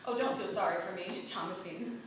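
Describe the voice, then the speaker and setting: A woman playing a frail, quiet, polite Puritan wife who is secretly shrewd, in a staged reading of a period play. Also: mid-range voice, fairly quick, natural voice. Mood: wry, knowing. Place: theatre stage, picked up from far off. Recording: very roomy, distant, noisy theatre recording.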